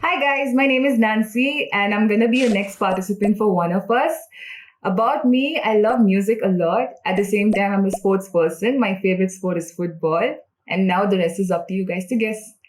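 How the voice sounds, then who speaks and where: A woman's voice: medium pitch, normal speed, slight background noise. A young woman who grew up in India, chatting casually to camera and often laughing as she reacts to viewers' guesses. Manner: giggly, relaxed, reading out comments. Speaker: series participant. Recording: echoey room with music behind.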